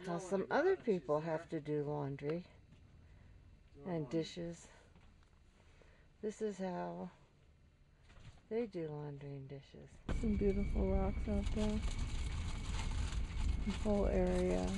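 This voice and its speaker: Slow-talking cheerful woman on a noisy train. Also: lowish voice, gravelly. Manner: cheerful, gushing. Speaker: travel companion filming. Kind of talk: casual travel chat. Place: outdoors and on a moving train. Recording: home camcorder with train and wind noise.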